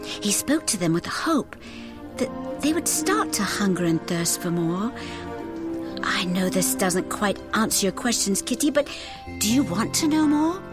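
An actress playing a gentle, devout woman who patiently teaches a child in a musical audio drama. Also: mid-range voice, very animated, a little slow. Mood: tender, earnest. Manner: tender, patient, reassuring. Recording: music under the voice.

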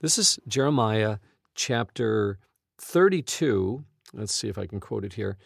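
A man hosting a casual podcast chat, enthusiastic and halting as he puts questions to his co-host. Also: fairly low pitch, animated, gravelly, breathy edge, no room sound, studio quality. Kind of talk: casual co-hosted conversation.

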